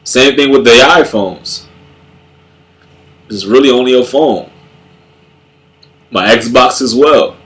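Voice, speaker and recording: A young man speaks slowly through a stuffed-up nose, and his voice comes out nasal. He talks in a loose, reflective way, often repeats words and starts his sentences over. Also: mid-range voice, fairly bright tone. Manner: halting, many repeated words and restarts. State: congested, nasal. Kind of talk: casual spoken reflection on faith.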